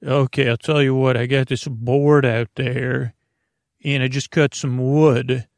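A man hosting a bedtime podcast in a rambling, halting monologue, over-explaining and trailing off with a creaky, gravelly voice. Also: medium pitch, fairly even intonation, no echo. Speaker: podcast host.

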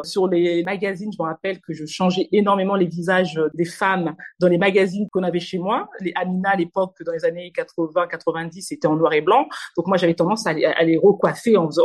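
A woman in her forties, an illustrator answering interview questions, talking quickly with frequent fillers and restarts. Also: fairly low pitch, darkish tone. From Congo (Kinshasa), raised in Normandy, France. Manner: fast, many hesitations and restarts. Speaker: illustrator and animation studio founder, guest.

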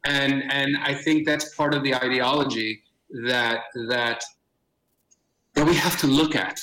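A man's voice: medium pitch, fairly even intonation, unhurried, bright, forceful tone, low-quality recording. A middle-aged man from Miami, a theater director talking as a podcast guest, with frequent restarts and Spanish phrases mixed in. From Miami, United States. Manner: many restarts and fillers, sprinkles Spanish phrases. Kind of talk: casual interview conversation.

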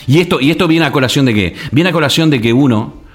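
A man from Argentina, a guest on a radio talk show, telling stories in an animated way with many restarts and fillers. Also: fairly high pitch, quick pace. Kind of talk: casual radio conversation.

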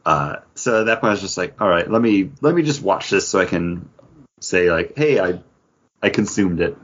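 A man co-hosting a casual geek-culture chat, talking in a halting, conversational way full of filler words. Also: low voice, animated, a bit bright, highs cut off. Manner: halting, conversational, filler-heavy with frequent 'like'. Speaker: podcast co-host.

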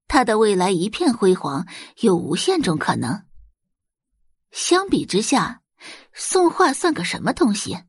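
A woman's voice: medium pitch, breathy edge, no room sound, studio quality. A woman narrating a web novel as a dramatized audiobook, telling the story expressively.

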